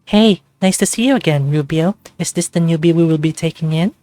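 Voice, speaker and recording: Man putting on a high-voiced brisk female lab supervisor. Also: warm tone, dry recording, faint music behind, lightly acted. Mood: friendly.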